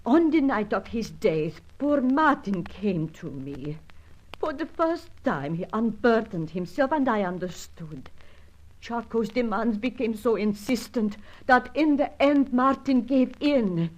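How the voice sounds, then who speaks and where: An actress playing a distressed, fearful European widow who confides in formal, careful, melodramatic phrases in a radio adventure serial. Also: female voice, fairly high pitch, animated, measured pace, muffled recording. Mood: anguished, dramatic.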